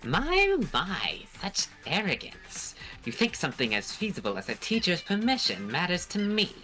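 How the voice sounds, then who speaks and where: Girl doing a sneering villain creature for a game, low bright voice, super swoopy, bit of music behind. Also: huge pitch swings, full character voice. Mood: haughty, sarcastic. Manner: pompous, mocking, drawn-out taunting. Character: sneering theatrical villain creature, pompous and cruel tormentor. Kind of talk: hobby voice acting of a visual novel game.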